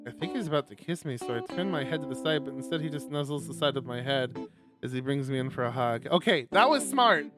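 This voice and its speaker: A man livestreaming and reading a visual novel aloud, sweary and animated, with exasperated asides and guitar music behind him. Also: mid-range voice, fast. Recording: loud music under the voice. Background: plucked guitar game music.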